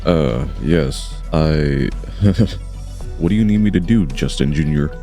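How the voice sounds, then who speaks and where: A man voices a weary, wary prison official in a game fan dub. His voice is low and mellow, with a guarded grumble. Light music sits behind it. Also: lively intonation, slow, heavily acted. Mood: wary, hesitant. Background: background music.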